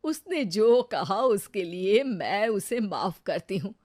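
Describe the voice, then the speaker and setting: A woman playing a humble, forgiving older village mother-in-law in a cartoon moral story, gentle and motherly. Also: mid-range voice, sing-song, slow pace, no room sound, clean studio sound. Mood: forgiving, earnest.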